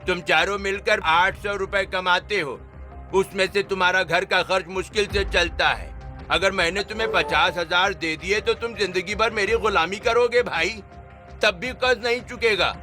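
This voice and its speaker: A man's voice: high-pitched, normal speed, bright, forceful tone, faint music behind. A man playing a harsh, greedy construction boss in a story drama, sneering and throwing out mocking questions. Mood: contemptuous. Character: harsh, scornful male construction contractor, greedy bully boss. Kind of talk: melodramatic Hindi story dramatization.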